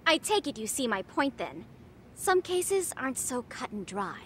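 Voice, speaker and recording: A woman's voice: fairly high pitch, sing-song, a little slow, fairly bright tone, slight background noise. A woman playing a self-important teenage schoolgirl who leads a detective club in a video game dub, with pompous, precise, dramatic phrasing. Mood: pompous, arch. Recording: music under the dialogue. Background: music.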